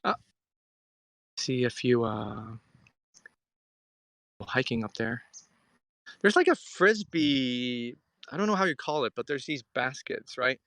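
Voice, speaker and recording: A man from Hong Kong chats casually to his live-stream viewers. His voice is bright and forward with a frequent crackle of fry, and it sounds dry, with very little echo. He muses conversationally as he reads and answers comments. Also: medium pitch, expressive, takes it slowly, long pauses. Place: outdoors at a riverside.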